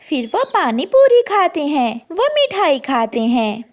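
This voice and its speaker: A woman's voice: sits high, big pitch swings, measured pace. A woman giving scripted narration for a children's story.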